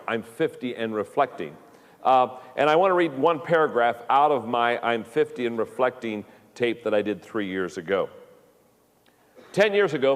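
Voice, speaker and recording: A man's voice: mid-range voice, big-room sound. A man in his fifties giving a recorded leadership lesson in a folksy way, often repeating words as he starts a thought. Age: 50s. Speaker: leadership speaker.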